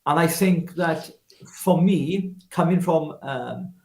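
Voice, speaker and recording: A man's voice: fairly high pitch, slow, roomy sound. A Welshman in his fifties, a guest telling his life story in an earnest, personal way with many restarts. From Wales. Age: 50s. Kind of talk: casual interview talk.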